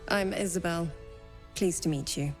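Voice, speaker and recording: A woman playing a poised, devout cleric in a fantasy video game, courteous and composed with gentle teasing. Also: lowish voice, moderate pace, soft background music, lightly acted. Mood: wry, welcoming.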